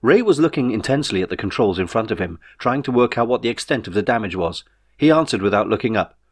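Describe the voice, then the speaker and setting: A man reading his own novel as a scripted audiobook, in a measured storytelling manner. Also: lowish voice, lively intonation, slightly rough.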